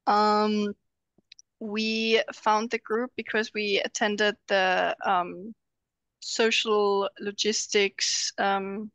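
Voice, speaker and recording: A woman in her twenties from Austria, a student presenting over a video call, pausing often with ums. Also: medium pitch, level tone, a bit bright, clean tone, no echo. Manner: hesitant, many ums.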